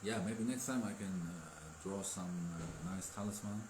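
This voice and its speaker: A man who is not a native English speaker, chatting casually as a Daoist teacher on a livestream, halting with many fillers. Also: deep voice. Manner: halting, filler-heavy, laughs often. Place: indoor room with tea table. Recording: echoey room, faint noise.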